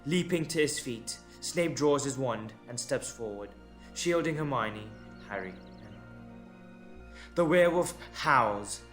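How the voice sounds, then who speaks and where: Male narrator for fantasy audio play: mid-pitched, very unhurried, suspenseful, deliberate pauses, light music bed. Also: animated, a bit bright. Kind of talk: dramatic scripted audio story narration.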